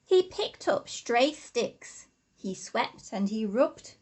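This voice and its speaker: A woman teacher reads a children's story in a high, very lively voice. Her delivery is slow and deliberate, with sing-song stress on the rhymes. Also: breathy edge. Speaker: infant school teacher reading a story. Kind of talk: expressive children's storybook reading.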